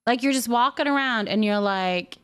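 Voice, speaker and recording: A woman's voice: on the high side, normal speed, clear, resonant tone. A woman chatting casually as a live streamer, reading viewer comments aloud and musing on them. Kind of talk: casual livestream chat.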